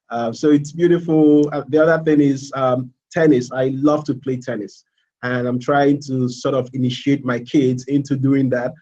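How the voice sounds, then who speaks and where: Halting Nigerian man, muffled audio. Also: medium pitch, a little mellow. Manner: halting, many fillers and repeated words. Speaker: MBA student. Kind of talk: informal live presentation.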